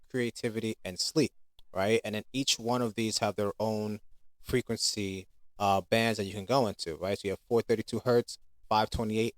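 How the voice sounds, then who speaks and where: Low-voiced man giving a casual demo. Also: no echo. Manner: informal, conversational. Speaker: app creator giving a product demo. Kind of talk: casual unscripted screen demo talk.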